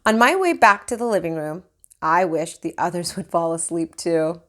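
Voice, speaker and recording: A woman's voice: fairly low pitch, moderate pace. A woman reading a children's book aloud like a teacher, expressively and acting out the dialogue. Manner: animated, acts out dialogue.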